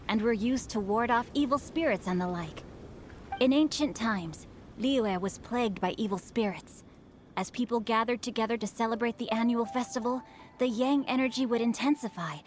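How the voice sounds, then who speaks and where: A woman as a refined, high-voiced young nobleman. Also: lightly acted. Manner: formal, eloquent, theatrical. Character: refined, bookish young nobleman, courteous and self-assured. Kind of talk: video game voice acting.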